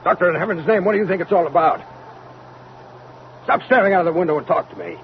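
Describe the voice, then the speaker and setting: A hot-headed army major in an old radio space drama. He barks impatient demands in a high, gravelly voice that swings with agitation. The recording is muffled and noisy. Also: fast. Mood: agitated, demanding.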